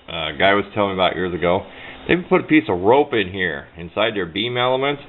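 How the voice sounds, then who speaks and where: A man's voice: fairly low pitch, sing-song, quick pace. A man giving casual hands-on commentary at a workbench, talking in a folksy, rambling way. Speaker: hobbyist radio video maker. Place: indoor workbench. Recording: tinny, band-limited camera mic with noise.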